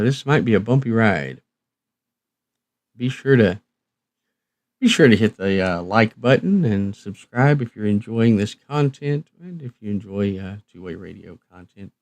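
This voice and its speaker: A man's voice: fairly low pitch, expressive, measured pace, long pauses, dry recording. A man hosting a casual live stream, talking in a folksy, rambling and hesitant way with trailing phrases. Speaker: live stream host, radio reviewer.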